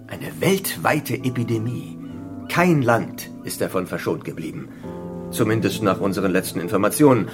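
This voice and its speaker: An actor playing an affable, eccentric doctor in a radio drama, speaking in a polite, soothing, slightly pompous way. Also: male voice, fairly low pitch, animated, moderate pace, over music, lightly acted. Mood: grave. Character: Olaf, affable, eccentric plastic surgeon acting as island doctor. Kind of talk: German radio drama acting.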